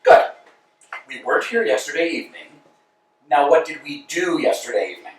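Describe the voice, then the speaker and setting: An actor playing Vladimir, an eager, fussy male tramp, in a staged reading, swinging between hushed musing and excited outbursts. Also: a little high, brisk. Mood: fussing. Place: indoor rehearsal room. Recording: echoey room from far off.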